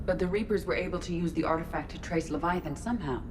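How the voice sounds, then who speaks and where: An actress voicing a composed, precise artificial intelligence in a video game, measured, formal and matter-of-fact. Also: female voice, on the low side, lightly acted. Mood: composed, analytical. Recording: noisy ambience behind dialogue.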